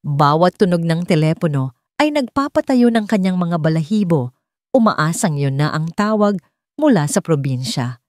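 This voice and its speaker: A woman's voice: on the low side, lively intonation, moderate pace, darkish tone, dry recording, clean studio sound. A woman with a Filipino accent reading a scripted dramatic story as its narrator.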